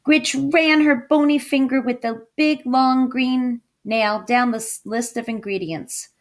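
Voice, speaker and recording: A woman's voice: high voice, fairly even intonation, a little slow. A woman reading a storybook aloud to children, with dramatic emphasis and coaxing questions to her listeners. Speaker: storybook reader, teacher. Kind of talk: expressive read-aloud to children.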